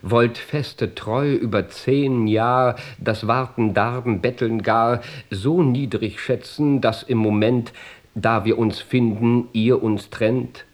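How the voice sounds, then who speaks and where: An actor playing an earnest, persuasive medieval count and loyal husband in a rhymed radio play, speaking in a measured way. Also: male voice, medium pitch, fairly even intonation, close to an everyday voice. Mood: pained, pleading.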